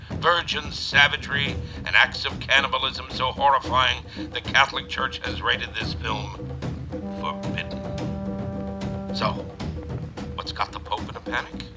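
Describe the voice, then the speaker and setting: A shameless 1950s B-movie showman, acted by a man, pitches with grandiose, hammy bravado in an audio drama. His voice sits at a middling pitch, with prominent music behind it. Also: lightly acted, rough recording. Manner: hammy, boastful carnival-barker delivery. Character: brash huckster male exploitation-film producer, shameless and grandiose. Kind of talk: 1950s showman's pitch and memoir-style storytelling.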